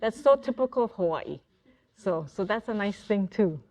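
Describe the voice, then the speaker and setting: A middle-aged woman, an author, leading a relaxed talk story session in a library classroom, chatty and quick to laugh. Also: medium pitch. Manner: chatty, laughs often, restarts sentences. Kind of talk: casual group discussion.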